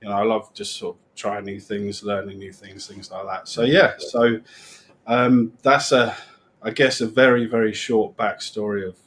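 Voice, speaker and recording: A middle-aged man with a British accent, chatting as a guest on a live stream and rambling with restarts that trail off quietly. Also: on the low side, steady tone, moderate pace. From England. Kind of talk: casual live-stream chat.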